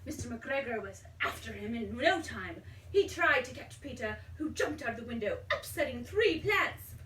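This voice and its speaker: A woman reading a children's storybook aloud in an expressive, dramatic way, pausing to put questions to a young child. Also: on the high side. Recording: roomy, distant mic, faint background noise.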